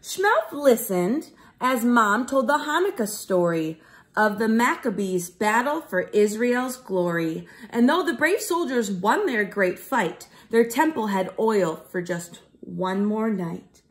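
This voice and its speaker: Expressive woman reading a children's storybook, unhurried. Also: on the high side. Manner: expressive, simple explanations for young children. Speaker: teacher reading a storybook aloud. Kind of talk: children's read-aloud storytelling.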